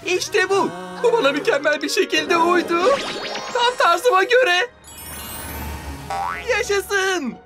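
A woman's voice: very high voice, slow pace, long pauses, over music. A woman playing a delighted, gushing, style-conscious lady in a children's cartoon dub.

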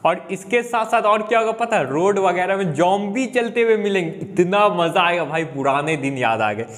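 Young Indian man, upbeat gaming YouTuber, slightly high voice, chatty and excited. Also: normal speed. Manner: upbeat, chatty, mixes English words into Hindi. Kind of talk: excited gameplay update commentary.

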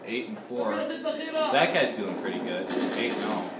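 A man's voice: lowish voice, huge pitch swings, measured pace, heavy background noise. A teenage boy recovering from a cold, stuffy and sore-throated, chatting casually with many ums while playing a shooter. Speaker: gaming YouTuber. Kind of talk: casual gameplay commentary. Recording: echoey room with loud game music. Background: loud game music and gunfire.

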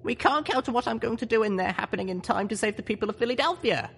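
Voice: mid-range voice, expressive, fast, vocal fry, fairly bright tone, a little hiss or hum, voice pushed up. A narrator voicing an earnest, determined young female pony leader, speaking solemnly and urgently in a story reading. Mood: earnest, pleading. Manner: solemn, urgent speech-making.

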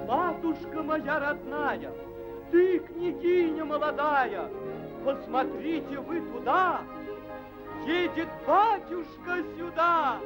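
An actor playing a yearning young fairy-tale prince in a 1940s cartoon, reciting lyrical verse. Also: male voice, very high voice, animated, takes it slowly, full character voice. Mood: excited, reverent. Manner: lyrical, declamatory verse delivery. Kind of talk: 1940s animated fairy-tale film acting. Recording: old 1940s film soundtrack, music behind.

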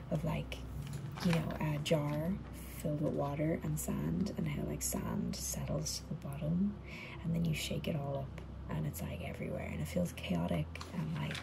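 A thirty-year-old woman talking in a relaxed, rambling voice-over, full of "like" and "um", soft-spoken. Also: low voice, gravelly, background noise. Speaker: journal video creator. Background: background music.

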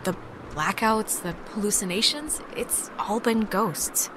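A woman's voice: mid-range voice, measured pace, noisy background, close to an everyday voice. A young American woman playing a wry, caring, level-headed teenage girl in a video game. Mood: explaining. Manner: wry, conversational. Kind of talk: naturalistic video game dialogue. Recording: music behind the voice.

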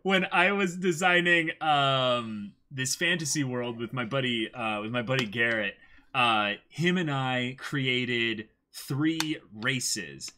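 Bright-voiced young American man chatting excitedly. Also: mid-range voice, slow. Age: 20s. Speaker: co-host of a manga reading stream. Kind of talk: casual chat, reading and answering chat comments.

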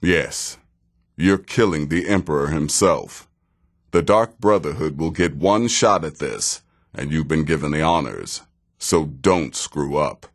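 A sardonic veteran assassin from a fantasy game, with a very low voice. He takes his time over each phrase, and his dry sarcasm rides on wide, expressive swings of pitch. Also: heavily acted. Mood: dry, mocking. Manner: dry, sarcastic, measured, drawn-out delivery. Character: wry, sardonic veteran male assassin handing out contracts. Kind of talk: fantasy video game character dialogue.

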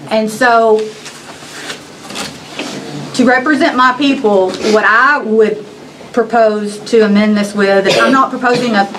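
A woman's voice: fairly high pitch, steady tone, unhurried, pauses often, background noise. Earnest young woman at a council table. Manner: earnest, hesitant, many restarts. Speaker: justice of the peace. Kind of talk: public meeting remarks. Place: county meeting room. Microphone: table microphone.

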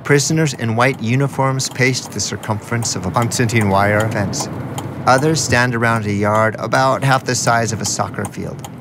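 A man delivers scripted radio documentary narration in a measured, storytelling manner. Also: medium pitch, background noise.

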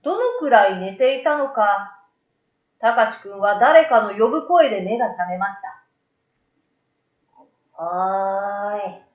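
A woman's voice: fairly high pitch, expressive, moderate pace. A middle-aged woman narrating a children's story from a script into a desktop microphone in a small room. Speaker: story reader. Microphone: desktop condenser microphone. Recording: roomy, slightly dull sound.

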